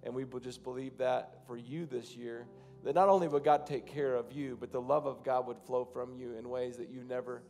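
Halting man preaching on a church stage. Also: medium pitch, steady tone, vocal fry, darkish tone, soft background music. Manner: loose, halting, repeats and restarts phrases, rising to fervent prayer. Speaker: pastor. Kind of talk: sermon and congregational prayer. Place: large church stage, indoors.

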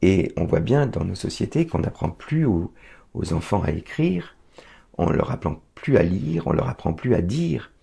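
A man's voice: deep voice, very animated, normal speed, gravelly, warm tone, breathy edge. A middle-aged man speaking in a seated interview, emphatic and declamatory yet hesitant at times, recorded on a lapel mic in a small room. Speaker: guest. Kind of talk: conversational interview.

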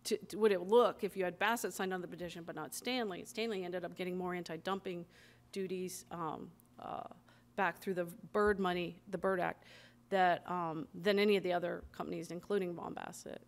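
Woman author, 50s, podium mic in a library room; loose, animated, conversational. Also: fairly low pitch, moderate pace. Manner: chatty, animated, frequent ums and restarts. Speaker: author speaking at a library event. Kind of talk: talk and audience Q&A. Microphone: podium microphone.

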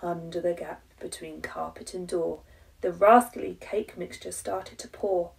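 English woman teacher reading rhymes to kids, slow n expressive, a bit far from the mic. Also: on the low side, gravelly. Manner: dramatic emphasis on rhyming verse. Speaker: primary school teacher. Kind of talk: expressive children's storybook reading.

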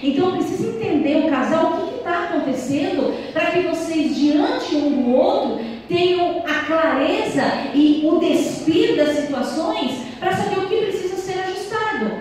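A woman's voice: very high voice, animated, moderate pace, a little mellow, a little hiss or hum. A woman in her thirties giving an earnest church talk to married couples, speaking into a handheld mic in a small church hall. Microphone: handheld mic, far camera. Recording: echoey hall from far off.

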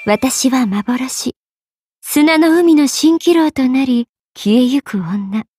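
A woman's voice: high-pitched, expressive, slow pace, mellow, soft background music. A woman voicing a playful, money-loving young half-spirit merchant sorceress in an anime game, with a teasing, polite lilt. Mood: solemn, regal. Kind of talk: Japanese anime game character voice acting.

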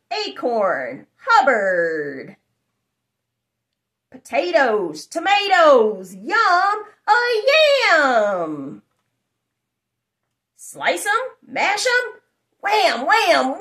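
A young adult woman reading aloud for children in an exuberant, sing-song chanting way with drawn-out words. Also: high voice, huge pitch swings, a bit bright. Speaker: host of a children's read-aloud channel. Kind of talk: animated children's picture-book read-aloud. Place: small furnished room indoors.

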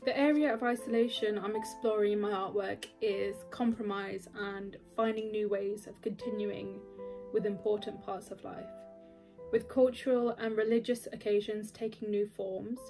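A woman artist reading an even, steady statement about her work. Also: medium pitch, normal speed, music in the background. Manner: even, read aloud.

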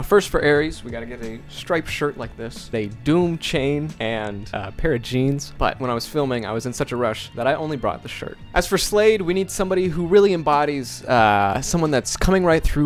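A young man whose first language is Finnish, talking casually and jokingly into a close handheld mic in a small bedroom as he walks through how he edited a video. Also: medium pitch. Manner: chatty, jokey, animated. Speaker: video creator. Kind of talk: casual tutorial vlog. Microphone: handheld dynamic mic close up.

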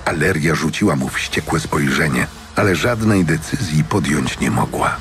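A man's voice: extremely low pitch, lively intonation, moderate pace, background noise. A man narrating a fantasy audiobook in a scripted, dramatic storytelling style. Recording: narration over music.

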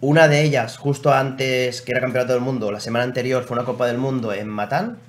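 A man's voice: medium pitch, steady tone, fast talker. A man in his forties from Spain with a Spanish accent, a guest athlete explaining things patiently with some hesitant restarts, speaking into a desk microphone in a studio. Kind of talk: casual interview answers. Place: small TV studio. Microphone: desk microphone on stand. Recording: faint background noise.

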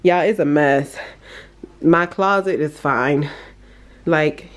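A woman's voice: low-pitched, takes it slowly. A young adult woman, chatting casually and informally for a vlog in a bedroom at home. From United States. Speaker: vlogger.

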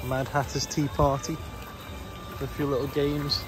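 A man's voice: medium pitch, level tone, unhurried. British man vlogging casually, music behind. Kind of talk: casual walkaround vlog chat. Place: outdoor family park, walking in woods.

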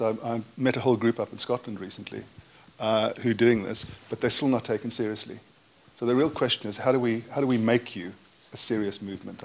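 A man's voice: on the low side, a bit bright, breathy edge. A man giving his views emphatically and candidly as a panelist in an unscripted discussion. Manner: opinionated, emphatic.

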